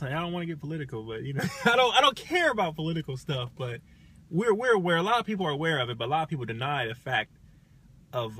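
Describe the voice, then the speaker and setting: A man's voice: medium pitch, pushed and bright. A man chatting casually as a livestream guest, telling anecdotes and giving opinions in an informal, rephrasing way.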